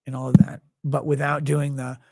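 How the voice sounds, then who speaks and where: A tired man with a gravelly voice, hosting a livestream and signing off in a rambling, blunt, profane way. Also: medium pitch, little pitch movement, moderate pace, a little mellow, no room sound. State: tired, low on sleep. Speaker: livestream host. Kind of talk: casual livestream sign-off chat.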